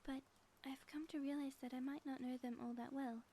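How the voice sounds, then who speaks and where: Woman playing a high-voiced theatrical ex-supervillainess. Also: fairly even intonation, a little fast, dark tone, slight background noise. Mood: tender, earnest. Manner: theatrical, formal grandiose diction. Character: theatrical ex-supervillain woman, grandiose schemer, lovestruck devoted spouse. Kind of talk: ASMR audio roleplay.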